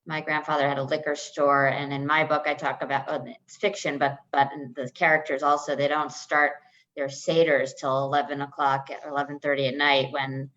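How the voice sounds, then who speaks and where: Low-pitched woman hosting a zoom book event, bit halting, muffled sound. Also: level tone. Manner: warm, slightly scattered, halting. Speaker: host. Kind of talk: moderating an online author event. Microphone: video call. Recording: dull lo-fi video call audio.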